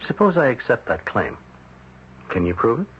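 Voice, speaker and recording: A man acting a patient, gently skeptical psychiatrist in an old-time radio drama, speaking in a hesitant, thoughtful way. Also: mid-range voice, big pitch swings, close to an everyday voice. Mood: measured, doubtful. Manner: hesitant, thoughtful, with hums and pauses. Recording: muffled, noisy old radio broadcast recording.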